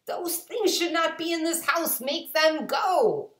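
Storybook fish, played by a woman: high, shrill, indignant little scold, very animated. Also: slow pace, full character voice. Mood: alarmed. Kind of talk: animated children's storybook reading. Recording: roomy home webcam recording.

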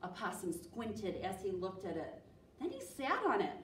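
A woman's voice: mid-range voice, normal speed. A woman in her thirties reading a children's picture book expressively as a storytime presenter. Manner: animated, expressive. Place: small indoor library room. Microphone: distant camera. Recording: roomy, distant mic, faint noise.

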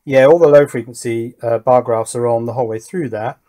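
A man with a medium-pitched voice talks through a hands-on demonstration. He sounds casual and tentative, musing aloud as he works. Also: fairly even intonation, a little fast, mellow. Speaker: host of an electronics build channel. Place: indoor workbench.

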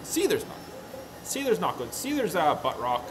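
A man's voice: a little high, wildly swooping pitch, moderate pace, background noise. A man in his thirties chatting casually with his live stream while working at a crafting table, rambling and often correcting himself. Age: 30s. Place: workshop room with a worktable.

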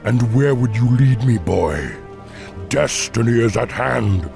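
A menacing orc warlord corrupted by demons in a fantasy game. His harsh voice is thick with gravel and drawn out slowly in scorn, with music behind. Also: mid-range voice. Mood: sinister taunting. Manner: taunting, slow. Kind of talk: video game voice acting.

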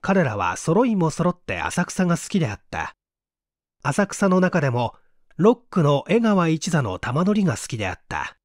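A man's voice: fairly high pitch, sing-song, moderate pace, no echo, clean studio sound. A man narrating a literary story as a dramatic reader.

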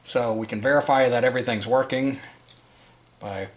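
A man with a mid-pitched voice presents a do-it-yourself tutorial indoors at a work table. He talks casually and without a script, explaining each step as he goes. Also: steady tone, normal speed. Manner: informal, unscripted, explanatory. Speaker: presenter of a DIY wiring tutorial.

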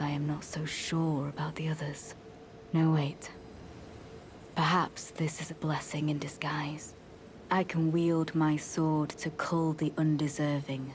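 An actress playing a solemn, devout female knight in a video game, with measured, formal, archaic phrasing and a courteous but coldly zealous manner. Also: sits low, darkish tone, a little hiss or hum. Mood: quietly resolute. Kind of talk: scripted video game dialogue.

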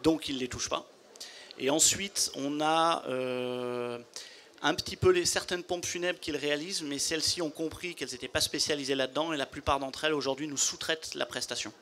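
Brief: founder, 40s, man, slightly high voice, calm explainer, handheld mic. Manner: measured, explanatory, businesslike. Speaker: company founder. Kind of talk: trade fair interview. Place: trade fair studio booth.

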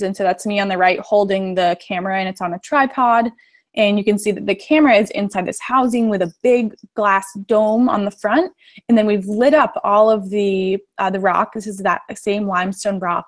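A young American woman giving an informal explanatory talk to students over a live stream, in simple, step-by-step terms. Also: mid-range voice. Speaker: photographer and cave diver giving a classroom talk. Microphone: live stream video call.

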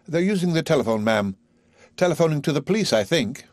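A man's voice: fairly high pitch, animated, a little fast, darkish tone, dry recording, studio quality, pitched above the performer's own voice, close to an everyday voice. A man doing a timid, polite parlour maid. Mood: deferential. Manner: polite, deferential.

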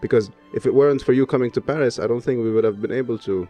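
A man's voice: medium pitch, very fast, warm tone, faint music behind. A young man from Lebanon hosting a podcast, asking thoughtful questions with many hesitations. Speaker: podcast host. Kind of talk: interview questions. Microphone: studio mic on boom arm.

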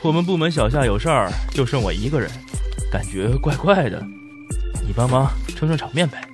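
Man acting a lively, teasing office manager. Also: mid-range voice, a little fast, lightly acted. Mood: teasing, casual. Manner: playful, teasing. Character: teasing, chummy young male finance manager. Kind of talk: audio drama acting. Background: background music.

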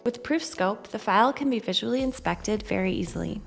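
A woman reading a scripted software demonstration in a measured, instructional way. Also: medium pitch, expressive, gravelly. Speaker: software demo narrator. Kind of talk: scripted tutorial narration. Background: background music.

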